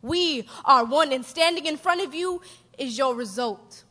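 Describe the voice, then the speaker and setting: A woman's voice: high voice, measured pace, heavy background noise. A woman performing spoken-word poetry on stage in an emphatic, declarative way, building on repeated phrases. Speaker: slam poet. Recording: reverberant hall, stage performance.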